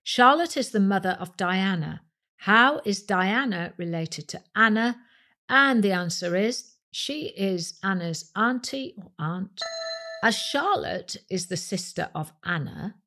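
A woman's voice: lowish voice, a bit bright. A woman with a British accent hosting a quiz podcast, reading scripted questions and answers to listeners.

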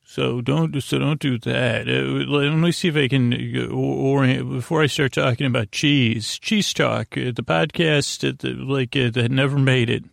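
A man's voice: mid-range voice, fairly even intonation, measured pace, vocal fry, few pauses, no echo, studio quality. A man hosting a sleep podcast, delivering a meandering, whimsical monologue that halts, digresses and circles back on itself. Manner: whimsical, musing to himself. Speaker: podcast host.

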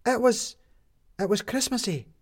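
A man's voice: very high-pitched, measured pace, voice pushed up. A man voicing eager, blunt street newspaper kids in a storybook, blurting out colloquial answers. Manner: hesitant then blurting, colloquial. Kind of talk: storybook character dialogue.

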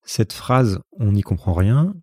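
A man of about forty with a Parisian French accent hosts a solo podcast in a very deep, husky voice. He talks conversationally, thinking things through with a modest, reflective air. The recording is close and dry. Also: lively intonation, slow, darkish tone, no room sound. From France, Paris region. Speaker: podcast host.

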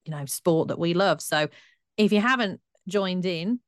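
A woman, co-hosting a podcast in a brisk, upbeat, chatty way. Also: on the low side, no room sound, clean studio sound. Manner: brisk and upbeat, presenting the show. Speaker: co-host. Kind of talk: casual podcast chat.

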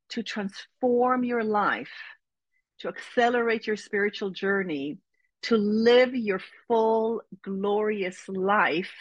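A woman's voice: medium pitch, a bit bright, breathy edge, no room sound, dull audio. A middle-aged woman from Israel, a spiritual teacher speaking as an interview guest in an earnest, reflective way. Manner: earnest, reflective, slow with emphatic phrasing. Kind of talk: interview conversation.

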